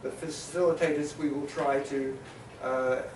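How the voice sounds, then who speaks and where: Halting male conference facilitator in an echoey hall. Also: medium pitch, fairly even intonation, noisy background. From New Zealand. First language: Maori. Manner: halting, many filler sounds and restarts, summarizing. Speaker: panel facilitator. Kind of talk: conference panel moderation. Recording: echoey hall, mic at a distance.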